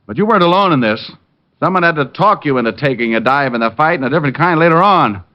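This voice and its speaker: Cocky private eye, acted by a man, breezy, wisecracking, lively pitch, dull vintage radio recording. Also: quick pace, lightly acted. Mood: wry, knowing. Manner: breezy, wry. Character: cocky, wisecracking, flirtatious male private detective. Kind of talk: old-time radio detective drama acting.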